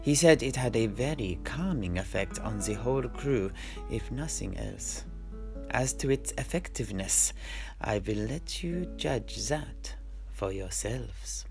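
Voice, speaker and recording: A man playing a genial old-world seafarer who recounts his grandfather's voyages in measured storyteller's phrasing. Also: medium pitch, lively intonation. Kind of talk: in-character fantasy storytelling. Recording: music playing under the voice.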